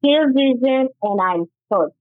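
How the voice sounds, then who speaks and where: Lady telling her story, halting and earnest, thin phone-line sound, dry. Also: high-pitched, animated, dry recording. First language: Haitian Creole. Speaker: guest author. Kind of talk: interview, personal testimony.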